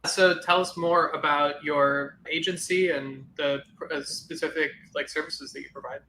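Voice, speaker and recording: A man's voice: a little high, fairly even intonation, moderate pace, sharp tone. A man hosting a podcast interview in a conversational way, recorded with roomy echo. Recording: roomy echo, faint background noise.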